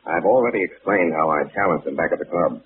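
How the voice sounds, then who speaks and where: A deep-voiced, fast-talking radio sleuth. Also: male voice, expressive. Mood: grave, firm. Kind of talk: 1940s radio drama acting. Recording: scratchy, narrow-band old radio recording.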